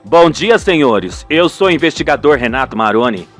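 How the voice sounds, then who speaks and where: A man's voice: fairly high pitch, lively intonation, moderate pace, fairly bright tone, soft background music, pitched below the performer's own voice. A man voicing a formal, probing Brazilian police investigator in a radio soap opera, composed and determined. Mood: courteous, formal. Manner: official, probing.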